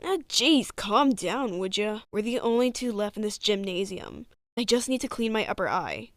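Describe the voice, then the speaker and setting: A woman's voice: medium pitch, sing-song, moderate pace, no echo, studio quality, lightly acted. A woman playing a brash, sarcastic athletic teenage witch girl in a cartoon comic dub, with a blunt, exasperated manner. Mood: snarky, irritated.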